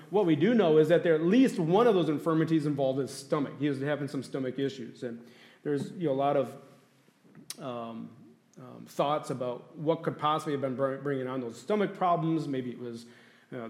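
A man's voice: a little high, a little mellow. A male pastor preaching a sermon in a conversational way, often asking the congregation questions. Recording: echoey room.

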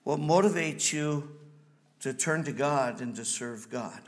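Man, 50s, Wisconsin accent, missionary speaking in church, folksy and halting. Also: mid-range voice. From Wisconsin, USA. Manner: halting, folksy, frequent ums. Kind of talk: church presentation.

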